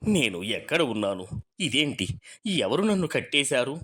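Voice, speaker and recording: A woman voicing a bewildered old man who speaks softly and plainly. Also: rumbling low, very animated, normal speed, breathy edge, voice pushed down, heavily acted. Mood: confused.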